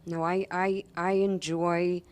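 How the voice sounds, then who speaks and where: A woman from New York, a comedian, talking casually as a guest on a radio show, lively and laughing with quick asides. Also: on the low side, clean tone.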